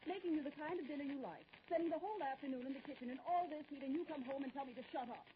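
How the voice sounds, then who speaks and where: Nagging, high-voiced wife, played by an actress. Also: female voice, racing, natural voice. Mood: aggrieved. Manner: scolding, sarcastic. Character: nagging, aggrieved wife of a politician. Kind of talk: old-time radio drama acting. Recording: muffled, noisy vintage radio recording.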